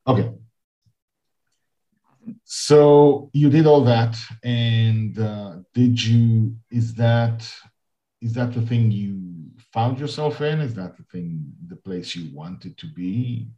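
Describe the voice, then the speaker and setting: Mellow-voiced male interviewer, echoey lo-fi audio. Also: mid-range voice. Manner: halting questions with brief acknowledgements. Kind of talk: casual interview conversation. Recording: muffled lo-fi audio in an echoey room.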